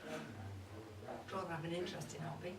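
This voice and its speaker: A woman's voice: low voice, big pitch swings, moderate pace. Cheeky older woman, acted out by a woman, distant and roomy. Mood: wry, bawdy. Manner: wry, bawdy, confiding, chatty. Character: cheeky, boozy older mother-in-law gossiping at Christmas. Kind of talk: comic dramatic monologue read to a live audience. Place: indoor live event at a pub. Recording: roomy, distant live recording with audience noise. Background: audience crowd.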